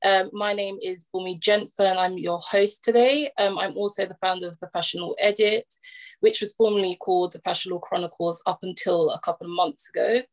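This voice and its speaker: A woman's voice: fairly low pitch, fairly even intonation, brisk, poor audio. Hesitant woman hosting a video call panel. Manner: hesitant, many ums. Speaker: webinar host.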